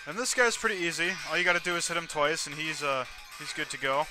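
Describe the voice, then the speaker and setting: A man's voice: a little high, lively intonation, fast, low-quality recording. A man giving casual, rambling commentary as he plays a video game, often correcting himself and describing the action on screen. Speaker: let's play host. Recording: game music behind the voice. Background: video game music.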